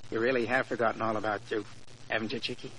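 An actor playing an ambitious, defiant young racing mechanic in 1940s radio drama, with a tough, slangy delivery. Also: male voice, lowish voice, little pitch movement, fast, pushed and bright. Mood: cocky, determined. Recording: noisy old 1940s radio recording.

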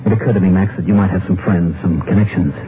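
An actor playing a desperate, ruined middle-aged husband who also narrates, in a 1950s radio drama. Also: male voice, low-pitched, steady tone, quick pace, noisy background, close to an everyday voice. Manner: earnest, strained. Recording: tinny old radio broadcast with music.

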